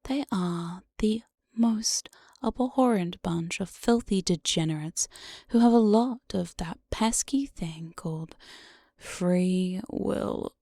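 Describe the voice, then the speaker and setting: A woman playing an evil, playful demon jailer in an ASMR roleplay, breathy and often whispering, with a sly, mock-sweet menace, close on the microphone. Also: medium pitch, takes it slowly, warm tone, no room sound. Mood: gloating, scheming. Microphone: close binaural studio microphone, dry.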